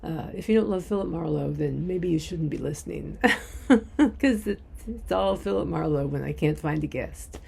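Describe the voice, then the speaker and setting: A woman hosts a podcast in a casual, chatty style. Her voice is fairly low and mellow with a lot of gravelly creak. A little noise sits behind her. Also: lively intonation, moderate pace. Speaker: podcast host.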